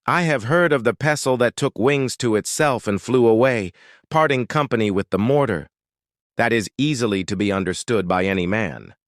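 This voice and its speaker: A man's voice: mid-range voice, moderate pace, dry recording, clean studio sound, natural voice. A man playing a pious old priest from a storybook, pompous, exclamatory and easily rattled. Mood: dismissive.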